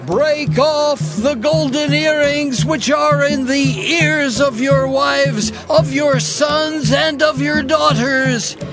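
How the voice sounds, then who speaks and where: Bible drama priest, really high voice, slow proclamations, music behind him. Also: male voice, exaggerated voice. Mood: proclaiming. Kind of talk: dramatized scripture reading.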